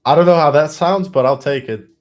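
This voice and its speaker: A man's voice: a little high, darkish tone. A man with a heavy Belgian accent hosting a live trading stream, thinking aloud as he counts through trades and results.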